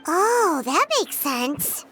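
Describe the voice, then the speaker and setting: Game role: squeaky childlike fairy companion, piercingly high and bright, cheeky. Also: female voice, sing-song, very slow, faint music behind, heavily acted. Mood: curious, cheeky. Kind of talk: video game voice acting.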